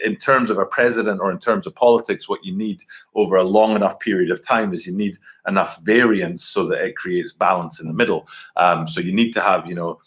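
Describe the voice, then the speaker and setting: Rambling man in his forties, low voice. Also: fairly bright tone. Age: 40s. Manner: rambling, many fillers and restarts. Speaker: livestream host. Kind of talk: casual live-stream chat. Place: home, at a computer. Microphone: computer webcam mic.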